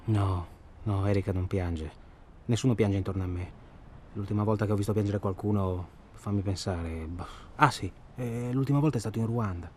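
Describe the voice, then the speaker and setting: A man's voice: on the low side, moderate pace, mellow, natural voice. A man acting in a radio drama as a well-spoken, self-absorbed hunting traveller who talks insistently and at length. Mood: hushed, reflective. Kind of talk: naturalistic radio drama dialogue. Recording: clear ambient noise behind.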